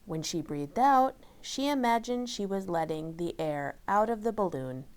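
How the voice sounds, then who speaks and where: Slow, clear woman reading a children's story. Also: mid-range voice, lively intonation, darkish tone. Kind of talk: scripted children's story narration.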